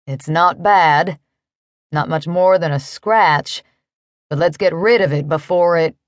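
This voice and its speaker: A woman voicing a kind, easygoing cowboy with a calm drawl in an audiobook story. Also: on the low side, slow, dry recording, clean studio sound, voice pushed down. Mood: steady, soothing.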